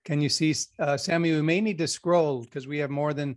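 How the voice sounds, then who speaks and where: A man's voice: a little high, steady tone, a little fast. A man hosting an interview on a video call, guiding the conversation and greeting the people who join. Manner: friendly, guiding and organizing the call. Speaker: interview host.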